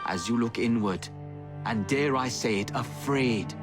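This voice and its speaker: An actor voicing a male assassin who reads a grave, urgent letter begging a brother for aid, over a loud film score. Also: mid-range voice, unhurried, over music, lightly acted. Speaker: assassin writing a letter, narrating. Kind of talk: dramatic game voice-over.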